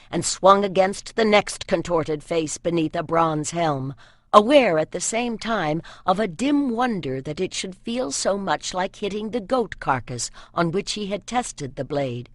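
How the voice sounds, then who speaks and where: An audiobook narrator reading epic fantasy in an expressive, solemn storytelling manner. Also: medium pitch, measured pace, no echo, studio quality. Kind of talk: dramatic scripted fantasy narration.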